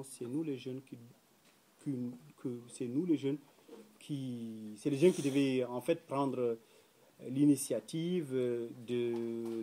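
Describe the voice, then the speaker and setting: A young man from Mauritania speaks at a round table, his voice mellow and dark in tone. He talks earnestly and carefully, with frequent hesitations and fillers. He is recorded in a small indoor room by a camera set a few feet away. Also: mid-range voice. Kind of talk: public talk at a round table.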